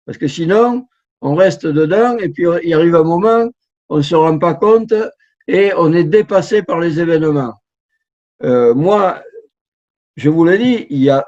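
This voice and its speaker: A man in his sixties, a translator speaking unhurriedly in a slightly high voice. He hesitates often, restarting his phrases around fillers. The sound is dull and lo-fi, like online call audio. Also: a little mellow. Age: 60s. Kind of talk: online talk, advice. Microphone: online talk, video call.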